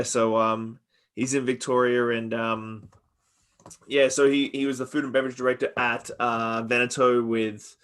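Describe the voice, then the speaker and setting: A man's voice: mid-range voice, a bit bright. A man who grew up in Australia, hosting a podcast in casual chat and rambling with many fillers and restarts. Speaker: podcast host. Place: Victoria, Canada.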